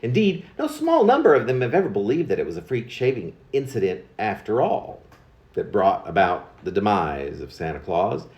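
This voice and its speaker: A man's voice: lowish voice, huge pitch swings, moderate pace, darkish tone, roomy sound, highs cut off. A man reciting his own humorous narrative poem in a wry, confiding way, like a storyteller passing on gossip. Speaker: poet reading own work.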